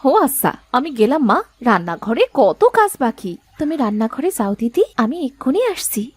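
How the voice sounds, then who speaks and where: A woman voicing a dutiful, chatty young daughter-in-law in a cartoon family drama, expressive and fondly chiding. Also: on the high side, normal speed, slightly rough, no room sound. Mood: brisk, nosy.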